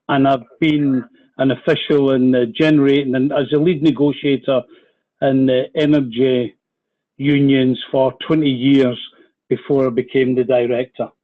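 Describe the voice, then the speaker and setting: Scottish man on a tinny call line. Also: medium pitch. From Scotland. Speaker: union official. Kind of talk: call presentation.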